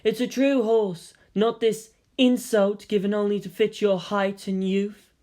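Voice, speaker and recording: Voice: mid-range voice, flat delivery, a little slow, darkish tone, voice pushed up, close to an everyday voice. A narrator voicing a haughty, lecherous seer who speaks inside a boy's head in a fantasy story. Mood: disdainful.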